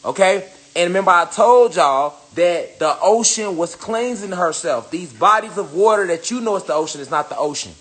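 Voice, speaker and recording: A man's voice: high-pitched, animated, moderate pace, few pauses, a bit bright. Profane, preachy man ranting to camera. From United States. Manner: profane, preachy, emphatic repetition. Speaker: spiritual commentator. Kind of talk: casual rant to camera.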